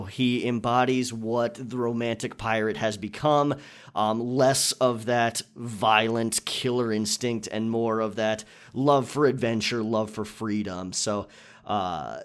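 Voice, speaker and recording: A man talking casually and reflectively as a channel creator, answering listener questions and explaining his thinking. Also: mid-range voice. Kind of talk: casual Q&A monologue.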